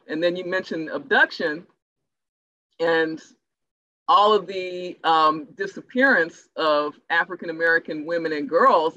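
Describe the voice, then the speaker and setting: A woman speaking in a formal, measured, academic way as a playwright in a panel discussion. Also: lowish voice, pushed and bright. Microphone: video call. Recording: dull, lo-fi video call audio.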